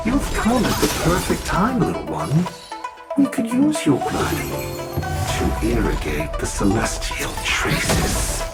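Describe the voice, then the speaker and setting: A woman's voice: rumbling low, huge pitch swings, takes it slowly, over loud music, heavily acted. A woman playing a menacing, monstrous, bloodthirsty foe who taunts in a video game. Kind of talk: video game villain acting.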